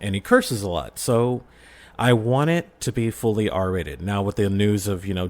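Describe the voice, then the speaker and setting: Low-pitched man, emphatic podcast guest. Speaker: podcast guest, film reviewer and audio drama creator. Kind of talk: casual podcast interview chat.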